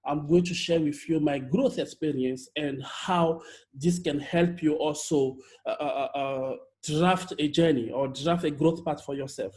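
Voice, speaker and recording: A young man from Togo with a French accent, a native French speaker giving an energetic online workshop talk as a youth leader, often repeating and restarting words over a video call. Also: on the high side, unhurried. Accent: Togolese French.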